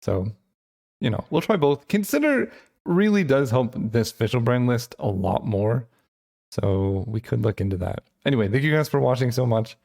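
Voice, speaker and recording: A man hosting his channel, thinking aloud in casual gameplay commentary with lots of fillers, his voice getting hoarse. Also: medium pitch, expressive, moderate pace, darkish tone. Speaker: channel host.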